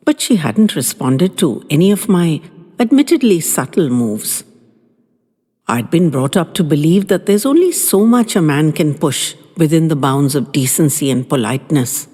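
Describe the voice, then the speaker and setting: Woman, low voice, husky, lively melodic reading, audio fiction narration. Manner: unhurried, expressive storytelling. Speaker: storyteller. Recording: long reverb, faint music underneath.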